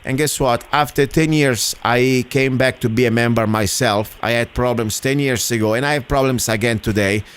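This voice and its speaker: A man's voice: medium pitch, moderate pace, few pauses, clean tone. Man, radio host, rambling, indignant. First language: Italian. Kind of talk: casual radio talk.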